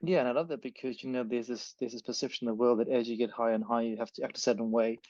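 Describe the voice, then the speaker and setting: NZ male podcast host, rapid rambling delivery, dry lo-fi recording. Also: mid-range voice, fairly even intonation, a little mellow, dry recording. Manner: fast, rambling, self-correcting. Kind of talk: casual podcast chat. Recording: dry, dull lo-fi sound.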